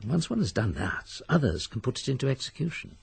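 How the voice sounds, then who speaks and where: A male actor with a British accent playing a wealthy, self-assured invalid aesthete in a radio drama, speaking in a measured, grandiloquent way. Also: medium pitch, sing-song, vocal fry, slightly rough, no echo, full character voice. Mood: musing.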